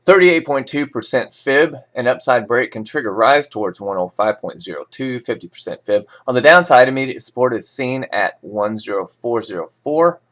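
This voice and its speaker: A man's voice: mid-range voice, lively intonation, moderate pace, hardly any pauses, a bit bright. A man reading financial news as an informal host, breaking into sarcastic, opinionated and folksy asides.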